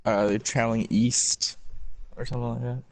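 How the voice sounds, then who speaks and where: A man's voice: mid-range voice, level tone, moderate pace, creaky, dry recording, rough recording. A man chatting casually as a companion to a reader, with a laid-back, hesitant way of talking. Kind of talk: casual chat.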